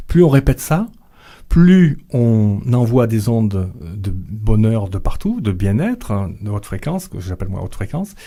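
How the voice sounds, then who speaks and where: A middle-aged man guest on a radio interview, talking slowly and hesitantly with many fillers and restarts. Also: on the low side, big pitch swings, warm tone. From Vietnamese family background. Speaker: author and guest.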